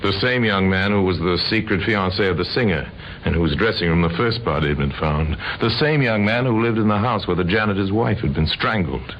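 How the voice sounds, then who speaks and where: A man narrating an old-time radio crime drama in a grave, deliberate, dramatic manner with a sardonic edge. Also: deep voice, lively intonation. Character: grave, sardonic male narrator of murder cases. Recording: dull, noisy old radio recording.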